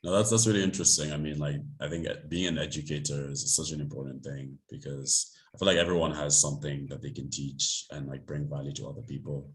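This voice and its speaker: A young man hosts a podcast interview in an extremely deep, low voice. He talks loosely and casually, leaning on likes and you knows as he goes. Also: steady tone, quick pace, hardly any pauses. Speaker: podcast host.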